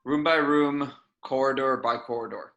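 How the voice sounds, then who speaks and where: An actor playing a bright-voiced terse captain. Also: mid-range voice, moderate pace, dull audio, close to an everyday voice. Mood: terse, grave. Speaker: table read actor. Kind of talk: amateur script table read.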